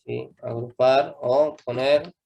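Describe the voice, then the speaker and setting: A man's voice: mid-range voice, fairly even intonation, slow pace, vocal fry, dark tone, dull audio, slight background noise. A young man teaching a live coding class, patiently checking in with students after almost every step. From Argentina. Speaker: programming teacher.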